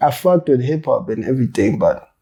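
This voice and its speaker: Relaxed slangy rapper man, mellow voice. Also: medium pitch, a little fast, creaky, husky. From South Africa. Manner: loose, slangy, swears freely, laughs while telling stories. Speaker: rapper, interview guest. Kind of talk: casual interview chat.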